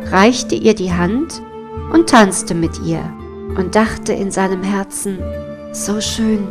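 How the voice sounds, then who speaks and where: Woman, fairy-tale narrator, unhurried slow delivery, faint music behind. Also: medium pitch. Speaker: storyteller.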